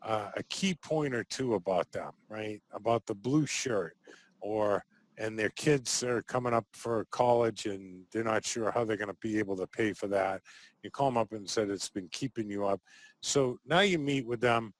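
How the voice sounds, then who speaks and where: A man hosting a casual interview, chatty and quick to laugh, often ending thoughts with a questioning "right?". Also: lowish voice, dry recording, low-quality recording. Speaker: interview host.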